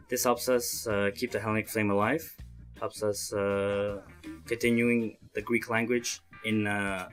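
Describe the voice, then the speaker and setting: Young guy from montreal in an interview, kinda low voice, hesitant, earnest, bit of guitar music behind. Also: a bit bright. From Montreal, Canada. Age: early 20s. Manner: halting, hesitant phrasing. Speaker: interviewee, student association chairman. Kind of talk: interview answers, earnest explanation.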